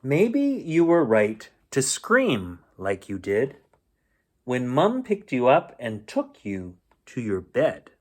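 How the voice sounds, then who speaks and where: A man reading a children's storybook aloud in an expressive, playful storytelling manner. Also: mid-range voice, slow. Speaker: bedtime story reader.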